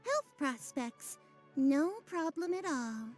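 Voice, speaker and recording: A theatrical mystic from an animated game. Her high voice takes its time over pompous, archaic pronouncements, with soft music behind her. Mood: knowing, theatrical. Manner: archaic, pompous, drawn-out delivery. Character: theatrical mystical female fortune-teller, grandiose and easily flustered. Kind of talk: animated video game voice acting. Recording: background music under the voice.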